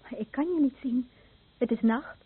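A woman playing a frightened young wife recoiling from her stricken husband, hushed and intense, in a Dutch radio play. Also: on the high side, moderate pace, breathy edge. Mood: wary, uneasy. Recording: dry, narrow-band old radio recording.

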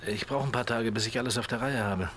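An actor playing a charming, quietly menacing gangster boss in his thirties in a German radio play. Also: mid-range voice, fast talker, a little hiss or hum, lightly acted. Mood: smooth, evasive.

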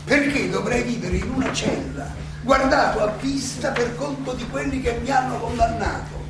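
An actor playing an aging Athenian philosopher who defends himself in a theatrical monologue, ironic and full of rhetorical questions and halting repetitions. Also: male voice, very high voice. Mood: reflective, questioning. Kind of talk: theatrical stage monologue, oratory. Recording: roomy, distant, noisy live stage recording.

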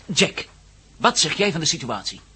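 An actor playing an eager, impatient man in a radio play, blunt and excitable, often cutting in. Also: on the high side, moderate pace, breathy edge, full character voice. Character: eager, impatient, jovial male companion.

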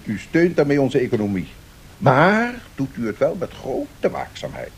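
An actor playing a learned economics professor, speaking formally and deliberately, explaining and cautioning in a radio play. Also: male voice, wildly swooping pitch, creaky. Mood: explanatory, measured. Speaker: professor answering questions. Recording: 1970s radio recording with faint rumbling noise.

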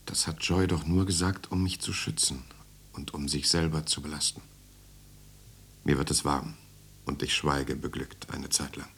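An actor playing a weary, defiant political prisoner in a German radio drama, speaking in a grave, measured, inward way. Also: male voice, deep voice, gravelly, a bit bright, husky, heavily acted. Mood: inward, tense. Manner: grave, measured, inward, sometimes dropping to a murmur. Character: weary, defiant male political prisoner recalling his interrogation. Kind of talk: 1970s German radio drama, inner monologue and dialogue.

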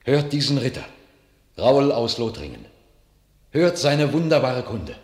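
Excited knight for a radio play, lively pitch, long reverb, a bit off-mic. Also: male voice, unhurried. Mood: elated. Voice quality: excited, emphatic. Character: excited knight bringing news of victory. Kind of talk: classic radio play acting. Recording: distant voice in reverberant studio.

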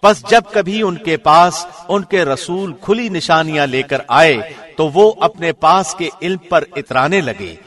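Slightly high-voiced man reading scripture solemnly. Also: expressive, a bit bright. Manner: solemn, measured, formal enunciation. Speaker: translation narrator, radio host.

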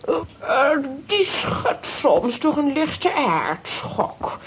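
A woman acting excitable, nervous ladies in a children's radio play, with animated, exclamatory delivery. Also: high voice, very slow, full character voice, rough recording.

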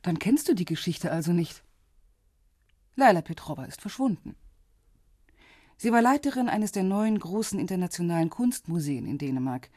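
A cool, self-assured woman detective in her forties in a radio crime play. Her voice is lowish and husky, very dry in the studio, and her delivery is matter-of-fact and businesslike. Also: expressive, moderate pace, pauses often, mellow, no room sound. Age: 40s. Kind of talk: radio crime drama dialogue.

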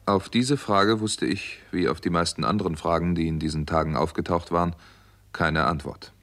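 An actor playing a hard-boiled, sardonic doctor turned investigator in a 1960s German radio crime drama, with dry, terse delivery. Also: male voice, sits low, fairly even intonation, moderate pace, a bit bright, faint music behind. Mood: tense narrating. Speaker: first-person narrator and protagonist. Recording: noisy old radio recording with clicks.

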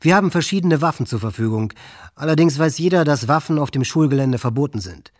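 A man's voice: a little high, animated, fast. An actor playing a coldly menacing, boastful teenage male school shooter in a documentary radio drama. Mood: businesslike threat.

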